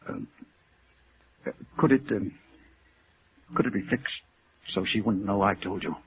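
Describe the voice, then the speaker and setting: A man's voice: lowish voice, unhurried. An American actor playing a flustered, defensive man in love, faltering through a 1950s radio drama scene. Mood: defeated, bewildered. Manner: hesitant, indignant, faltering. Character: flustered, lovesick, defensive man who gives in. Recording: dull vintage radio recording.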